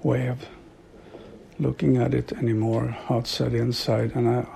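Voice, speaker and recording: Slow, husky man with a gravelly voice. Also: mid-range voice, flat delivery, a little hiss or hum. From Sweden. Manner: slow, halting, with frequent soft laughs. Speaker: author and devotee. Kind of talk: reflective monologue.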